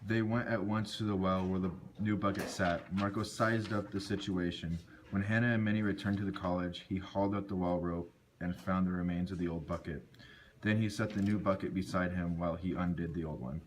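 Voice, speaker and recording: A man's voice: low voice, fairly even intonation, fairly quick. A man reading scripted storybook narration for an amateur puppet show. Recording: noisy amateur recording with clicks.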